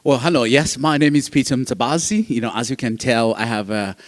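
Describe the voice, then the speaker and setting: A man's voice: mid-range voice, fairly quick. Ugandan man speaking in a reverberant hall. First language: not English, which is a fourth language. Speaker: guest speaker, foster care advocate. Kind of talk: personal motivational talk to an audience.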